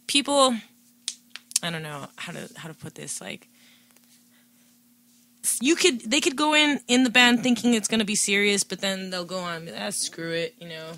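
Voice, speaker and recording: Bright-voiced young woman co-hosting a podcast. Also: mid-range voice, no room sound. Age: 20s. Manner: informal, slangy. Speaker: podcast co-host. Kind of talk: casual podcast chat.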